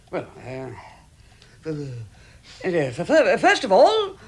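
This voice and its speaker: An actor playing a fussy, timid, excitable hobbit gentleman who stammers primly, in vintage radio drama style. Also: high voice, very slow, breathy edge, exaggerated voice. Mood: flustered, businesslike. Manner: stammering, flustered, prim. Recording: vintage 1960s radio recording.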